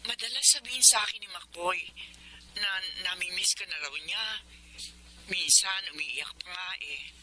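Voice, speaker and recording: A woman's voice: on the low side, normal speed, bright, forceful tone, slight background noise, low-quality recording. A woman playing a caring, devout orphanage nun in a Tagalog radio drama, with a Filipino accent and a consoling, motherly manner. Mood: fond, concerned.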